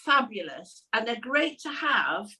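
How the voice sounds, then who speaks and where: A woman's voice: a little high, big pitch swings, measured pace, pushed and bright. A middle-aged British woman chatting casually and telling anecdotes as a co-host on an online panel show. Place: at home indoors on a video call. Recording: slightly distant video-call mic.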